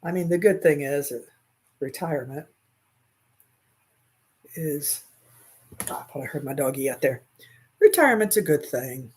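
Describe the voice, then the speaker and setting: A woman's voice: sits low, lively intonation, normal speed, pauses often, husky. A woman in her sixties chatting casually and laughing easily while she crochets, answering viewers' comments on a livestream from a small room at home, recorded on a webcam. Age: 60s. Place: small bedroom at home, indoors. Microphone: webcam at desk.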